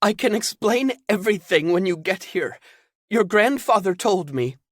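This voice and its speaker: A man voicing a breathless woman whose voice is scratchy from crying as she gently but firmly presses urgent news. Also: mid-range voice, expressive, normal speed, dry recording, studio quality, pitched above the performer's own voice, close to an everyday voice. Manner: gently insistent.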